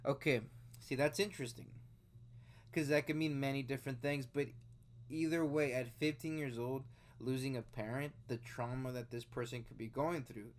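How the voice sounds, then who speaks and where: A man's voice: mid-range voice, steady tone, normal speed. Rambling twentysomething man reacting to news. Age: 20s. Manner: rambling, conversational, with frequent fillers and restarts. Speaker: video host reacting to news. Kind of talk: casual commentary.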